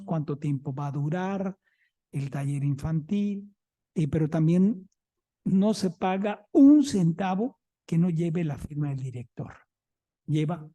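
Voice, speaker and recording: Mexican man, museum director at a talk, mellow slightly high voice, anecdotal. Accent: Mexican Spanish. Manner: chatty, anecdotal, keeps checking in with the audience. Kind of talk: conference talk.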